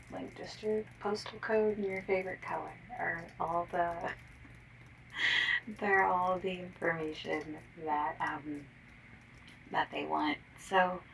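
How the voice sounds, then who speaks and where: Woman acting as nervous shy survey girl, soft whispery asmr, apologetic n rambly, bright breathy voice, bit of background noise. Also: lowish voice, far from the mic, heavily acted, low-quality recording. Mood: apologetic, polite, then gentle, hesitant. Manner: hesitant, apologetic, rambling, gentle. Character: shy, nervous, polite young woman phone survey caller. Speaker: ASMR roleplay performer.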